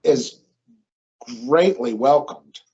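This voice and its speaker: A man's voice: mid-range voice, lively intonation, drawn-out words, darkish tone, breathy edge. A young man, a new father, talking casually and reflectively on a podcast, rambling with lots of fillers. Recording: dull lo-fi sound.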